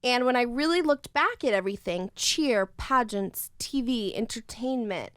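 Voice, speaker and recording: A thirty-year-old woman telling personal stories in casual conversation as a podcast guest, animated, acting out quotes and swearing freely. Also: on the high side, normal speed, smooth, no room sound, clean studio sound. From United States. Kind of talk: casual chat, personal storytelling.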